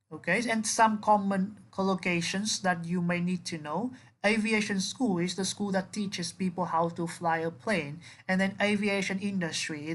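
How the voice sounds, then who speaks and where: A woman English teacher giving an explanatory vocabulary lesson, instructive, often repeating words and rephrasing them with synonyms. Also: on the low side.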